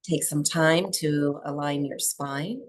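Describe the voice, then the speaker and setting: Low-voiced woman guiding slow breathwork. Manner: soft, unhurried, soothing delivery with long pauses. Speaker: yoga and breathwork teacher. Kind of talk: guided meditation instruction to a small group.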